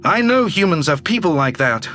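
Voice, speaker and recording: A man voicing a shrewd, businesslike male alien governor in an audio story, brisk and authoritative. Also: on the high side, sing-song, bright, forceful tone, faint music behind. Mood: curt, demanding.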